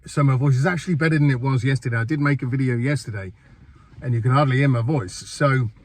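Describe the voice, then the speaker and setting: A man's voice: medium pitch, quick pace. An older man hosting a gaming channel, talking through a casual review while under the weather with a cold, chatty and rambling. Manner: chatty and rambling, with fillers and repeated words. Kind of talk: casual first-impressions game review.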